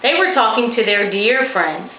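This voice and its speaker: A woman's voice: a little high, lively intonation, moderate pace, natural voice. A woman voicing a friendly, helpful fox in a children's storybook. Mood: friendly, urgent. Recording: roomy, slightly dull, faintly noisy recording.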